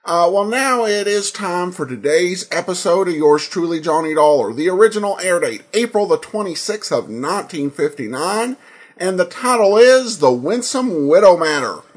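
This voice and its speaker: A man hosting a podcast, giving an informal introduction in a halting, earnest way. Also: high voice, animated. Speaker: podcast host.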